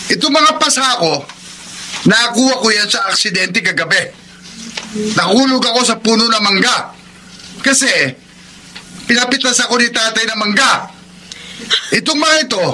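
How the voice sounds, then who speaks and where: Philandering Filipino husband for radio drama: high-pitched, blustering, defensive, over a noisy track. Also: male voice, bright, forceful tone, exaggerated voice. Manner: dismissive, blustering, evasive.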